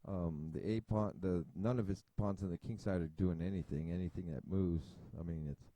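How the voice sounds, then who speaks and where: Low, mellow-voiced man rambling over chess. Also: moderate pace. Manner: rambling, thinking aloud with many fillers and restarts. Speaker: chess video host. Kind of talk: casual chess analysis commentary. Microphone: desk mic at a computer.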